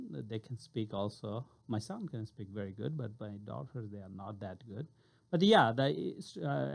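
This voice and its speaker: A man's voice: medium pitch, gravelly, darkish tone. A man in his forties from Bangladesh, a native Bengali speaker, chatting as a radio guest in short broken phrases and laughing often. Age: 40s. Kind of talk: casual radio chat.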